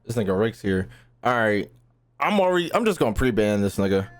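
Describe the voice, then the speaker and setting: A young man playing a video game and reacting loudly, with crude jokes and slang. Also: on the low side, big pitch swings, fairly quick. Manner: loud, crude, joking slang. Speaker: gaming YouTuber. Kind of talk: let's play reactions.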